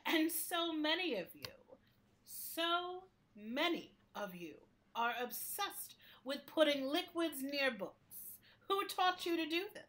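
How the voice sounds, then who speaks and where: A woman's voice: high-pitched, expressive, slow, fairly bright tone, heavily acted. A woman playing a prim, caring library building in a radio play, scolding in an emphatic, mock-stern manner.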